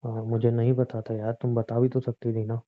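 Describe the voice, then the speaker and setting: A man's voice: mid-range voice, monotone, a little fast, lightly acted. A man playing a defensive husband in an audio drama, swinging between grudging apology and accusation in conversational speech. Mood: placating. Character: defensive, short-tempered husband, grudgingly apologetic, nagging his wife. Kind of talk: Hindi audio drama dialogue, conversational acting. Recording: muffled, phone-like narrow sound.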